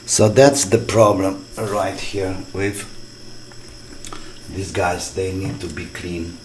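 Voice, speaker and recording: Low-voiced, slow-talking man. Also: fairly even intonation, crowd noise. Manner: halting, thinking aloud in short fragments. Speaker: electronics repair hobbyist presenting his restoration. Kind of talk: casual hands-on repair commentary. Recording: clearly noisy recording with clicks.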